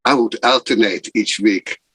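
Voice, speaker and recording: A man, a guest improviser, speaking casually and thoughtfully over a video call, with frequent pauses. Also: fairly low pitch, a bit bright, husky. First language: not German. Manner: slow, thoughtful, pausing often.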